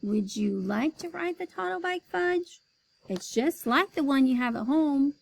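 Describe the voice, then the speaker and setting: A woman reading aloud, voicing a calm, firm father who reasons with a pushy client and his sons. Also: sits high, measured pace, creaky, no echo, voice pushed up, heavily acted, low-quality recording. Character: patient but firm father, an ad man.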